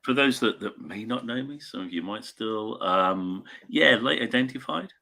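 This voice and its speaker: A man's voice: fairly low pitch, animated, normal speed, creaky, crowd noise. A man in his late fifties chatting casually on a video call, rambling and going off on tangents. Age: 50s. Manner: rambling, self-interrupting. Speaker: co-host. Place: small home room or shed, indoors. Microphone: webcam on video call.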